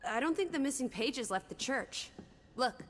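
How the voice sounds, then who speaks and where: A woman's voice: sits high, animated, normal speed. An actress voicing a cheeky, playful young woman of the 1920s in a video game, teasing and breezy, full of period slang.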